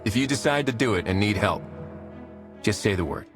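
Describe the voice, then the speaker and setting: An actor playing a steady, plainspoken male hero in a video game, calm and direct. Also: on the low side, fairly quick, over music, close to an everyday voice. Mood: calm, supportive. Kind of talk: video game cutscene acting.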